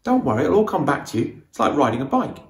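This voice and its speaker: A man's voice: a little high, very animated, fast, roomy sound. A man voicing a bold, encouraging teenage boy rider in an audiobook, calling out emphatically. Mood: reassuring. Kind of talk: audiobook character dialogue.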